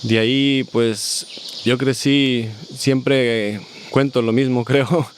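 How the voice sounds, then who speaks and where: A man, a guest in a casual interview, telling stories in a reflective, unhurried way. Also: mid-range voice, fairly even intonation, slight background noise. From Costa Rica. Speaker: guest, family farmer.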